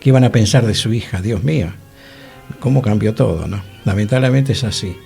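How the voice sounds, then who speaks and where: A man's voice: fairly low pitch, a little fast, vocal fry, mellow. A man with a Buenos Aires accent chatting casually on a radio panel, exclaiming and seeking agreement. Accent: Argentine, Buenos Aires. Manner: chatty, exclamatory, seeks agreement with tag questions. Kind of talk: casual conversational commentary. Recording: music playing behind the voice.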